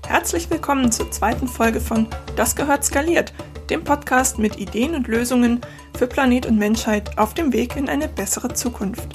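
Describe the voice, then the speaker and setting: A woman's voice: fairly high pitch, normal speed, faint music behind. A woman who is a native German speaker, speaking as a podcast host. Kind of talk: scripted podcast introduction, then casual interview.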